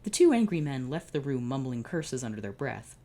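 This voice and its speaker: A woman's voice: a bass voice, very animated, fairly quick, mellow. A woman reading a fan fiction story aloud, stumbling over words and restarting. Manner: reads with slips and restarts.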